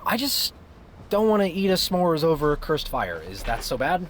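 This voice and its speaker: A man's voice: lowish voice, moderate pace, a little hiss or hum, lightly acted. A man playing an uneasy grown grandson who narrates an audio drama and talks in a hesitant way. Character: grown grandson, uneasy, relieved, reluctant with his family. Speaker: narrator and lead character. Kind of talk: first-person audio drama narration and dialogue. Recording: music bed under the voice.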